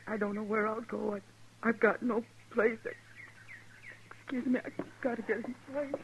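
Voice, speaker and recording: An actress playing a humble, grieving frontier widow with rustic American speech in a 1950s radio western. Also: female voice, fairly high pitch, steady tone, close to an everyday voice. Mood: lost, halting. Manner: rustic, halting, gracious. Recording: faintly noisy old radio recording.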